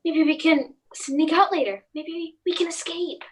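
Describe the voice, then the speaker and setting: Woman acting a terrified, very high-voiced mother. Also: fairly even intonation, moderate pace, slight background noise. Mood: frantic evasion. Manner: faltering, repetitive, pleading. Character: Mrs. Morris, terrified, pleading mother. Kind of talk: radio play acting.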